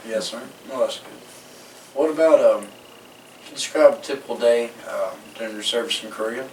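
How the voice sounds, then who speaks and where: A man's voice: medium pitch, fairly even intonation, normal speed. A man interviewing a veteran, polite and often saying "yes, sir.". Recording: echoey room, mic far off, faint noise.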